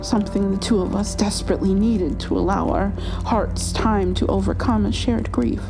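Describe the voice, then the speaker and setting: A woman playing an elegant, passionate vampire noblewoman in an ASMR roleplay, with a formal, earnest and deliberate delivery. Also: medium pitch, music in the background, lightly acted. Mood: deferential, earnest.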